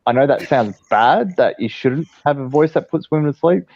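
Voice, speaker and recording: A man chatting on a live stream in a soft, hesitant way, with many fillers. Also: medium pitch, lively intonation, normal speed, dull audio, slight background noise. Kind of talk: casual chat.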